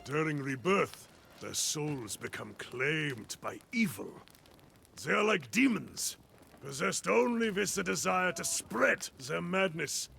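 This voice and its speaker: An actor playing a hearty, optimistic warrior mentor in a video game, with a gravelly voice and a boisterous, theatrical delivery. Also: male voice, a little high, big pitch swings, slow pace, bright, forceful tone, slight background noise, full character voice. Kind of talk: video game cutscene acting.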